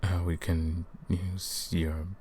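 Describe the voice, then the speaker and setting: A man voicing a guilt-ridden, centuries-old vampire hunter in a slow, hushed, somber roleplay monologue. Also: deep voice, level tone, slight background noise. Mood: apologetic, earnest. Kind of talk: scripted ASMR roleplay monologue.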